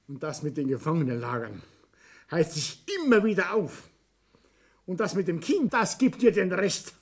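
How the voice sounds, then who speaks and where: A man's voice: on the high side, animated, moderate pace, husky. An actor playing a domineering, hot-tempered old Bavarian father and businessman in a radio play, blustering and commanding with a Bavarian accent. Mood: vindictive. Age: older. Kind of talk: German radio play acting.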